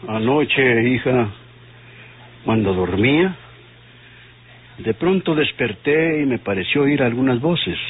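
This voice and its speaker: A man's voice: mid-range voice, lively intonation, slow pace, long pauses, a little hiss or hum. Worried Mexican father, muffled old radio. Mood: troubled, explaining. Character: worried, nervous older father, a police inspector. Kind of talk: vintage radio drama acting.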